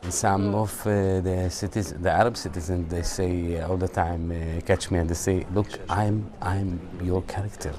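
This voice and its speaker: Deep-voiced hesitant man amid crowd chatter. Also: steady tone, moderate pace, hardly any pauses. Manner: hesitant, with many fillers. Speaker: actor interviewed. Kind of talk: interview answers. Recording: crowd chatter behind, away from mic.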